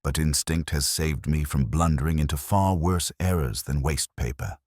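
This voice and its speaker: A man playing a brooding, introspective older police detective, narrating a mystery in a measured, solemn, literary way. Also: a bass voice, a little mellow, no room sound, studio quality. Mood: brooding, reflective. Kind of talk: scripted first-person mystery narration.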